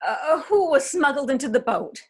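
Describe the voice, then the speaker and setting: A woman reading the part of a frail elderly widow, gentle and shaky, in a readers theatre play. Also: sits high, lively intonation, moderate pace. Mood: puzzled.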